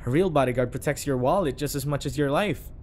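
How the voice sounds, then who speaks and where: A man's voice: medium pitch, fast, a little mellow, a little hiss or hum, lightly acted. A man playing a flustered, obsessively devoted bodyguard in an audio roleplay, earnest and nervously backpedalling. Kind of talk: audio roleplay acting addressed to the listener.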